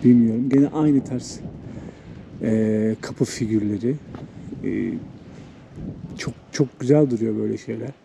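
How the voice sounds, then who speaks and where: A man's voice: fairly low pitch, a little slow, long pauses. A man chatting casually and enthusiastically to viewers as a travel vlogger walking outdoors. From Turkey. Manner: informal, enthusiastic, conversational. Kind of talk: casual chat to camera while walking around. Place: walking around an old town outdoors. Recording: clear background noise, outdoor street ambience.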